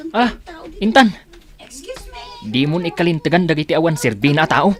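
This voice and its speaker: A man's voice: a little high, big pitch swings, normal speed. A man playing an irritable, haughty boyfriend with an Ilocano accent in a radio drama. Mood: angry, grumbling. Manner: grumbling, scolding. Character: irritable, haughty boyfriend, scornful of beggars and strangers. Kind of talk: Filipino radio drama acting.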